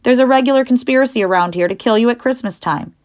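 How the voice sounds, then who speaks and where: A woman performs an old ewe from a children's storybook. She is gossipy and blunt, with a raised pitch and a snappish, matter-of-fact delivery. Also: fast, close to an everyday voice.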